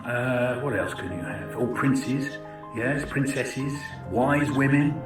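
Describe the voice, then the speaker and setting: A man's voice: medium pitch, slow pace. A man giving an informal educational talk in a conversational, chatty way, often posing questions to his listeners. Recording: roomy, distant mic with music.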